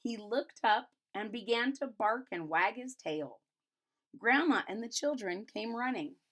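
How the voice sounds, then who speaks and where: A woman reads a children's picture book aloud expressively, pausing to explain and retell it in simple casual words. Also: fairly high pitch, animated, fairly bright tone. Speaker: storybook reader.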